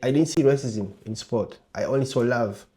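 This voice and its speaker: Male interview guest, Ugandan accent. Warm, dark timbre at a medium pitch, relating personal experiences conversationally. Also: moderate pace. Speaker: interview guest, table tennis player and coach.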